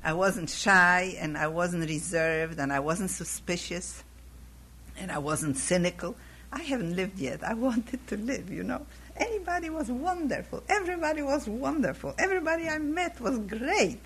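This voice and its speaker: A woman's voice: mid-range voice, moderate pace. An older woman from Poland, recalling her youth in an oral history interview, her voice scratchy from a cold. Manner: reflective, animated when recalling youth.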